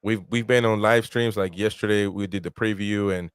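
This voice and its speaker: A man in his thirties, born in Peru, hosts a casual live-stream football watch-along in a fairly low voice. He talks in a loose, chatty way and reads out viewer comments. The sound is dry and close, with little room in it. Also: steady tone, moderate pace, clean tone, no echo.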